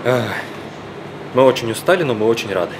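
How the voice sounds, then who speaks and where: A man's voice: medium pitch, animated, brisk. A young man, an amateur animator, giving an interview into a handheld microphone in a foyer. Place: indoor cinema foyer. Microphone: handheld reporter's microphone. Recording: clear background hum and noise.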